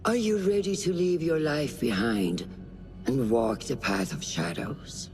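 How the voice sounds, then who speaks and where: An actor playing a stern, commanding master assassin in a cinematic game, speaking in a ceremonial, deliberate way. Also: mid-range voice, a little mellow, soft background music, lightly acted. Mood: solemn, stern.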